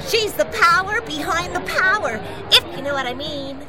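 A woman voicing an excitable young child watching the sky in a comedic audio drama, with a loud crowd and music behind. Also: very high voice, moderate pace. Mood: knowing.

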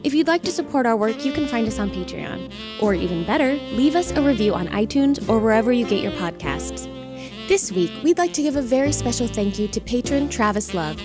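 A woman's voice: on the high side, expressive, a little fast. A woman announcer reading scripted end credits for an audio drama podcast. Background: guitar music.

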